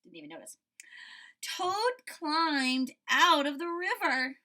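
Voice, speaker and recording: A woman teacher reading a story aloud to children and commenting on it, expressive, often checking in with her listeners. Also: high-pitched, slow pace. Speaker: school teacher.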